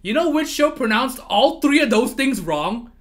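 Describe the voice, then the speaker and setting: A man who did not grow up speaking English chats on his livestream, ranting and laughing as he goes. His pitch swings widely from phrase to phrase, and he swears freely. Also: sing-song, normal speed. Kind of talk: casual livestream chat.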